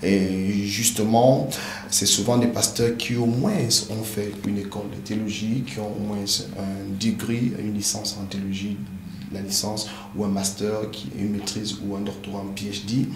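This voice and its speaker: Male pastor guest, native English speaker, lowish voice, earnest and hesitant, echoey noisy room. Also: flat delivery, moderate pace, a little mellow. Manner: earnest, many hesitant fillers. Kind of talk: explanatory interview answers. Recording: echoey room with background noise.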